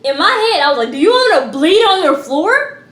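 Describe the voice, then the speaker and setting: A teenage girl from Georgia, telling a school story in a loud, expressive, slangy way. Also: very high-pitched, normal speed, reverberant. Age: teens. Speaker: vlogger. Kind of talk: casual storytime.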